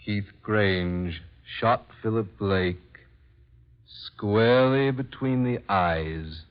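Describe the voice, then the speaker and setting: An actor playing a coldly composed, mysterious masked man in measured, formal 1940s radio horror style. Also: on the low side. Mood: cold, grave. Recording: muffled old radio recording.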